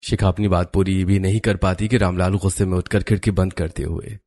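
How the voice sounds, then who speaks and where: Low-voiced male story narrator. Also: little pitch movement, brisk, a little mellow. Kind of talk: scripted cartoon story narration.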